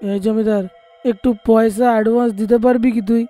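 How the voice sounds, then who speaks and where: Man playing an insolent, dark-toned drunkard gardener. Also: high-pitched, fairly even intonation, fairly quick, faint music behind, natural voice. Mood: demanding. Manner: rude, defiant, whining for money. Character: insolent drunkard male village gardener, quarrelsome. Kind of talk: animated horror story voice acting.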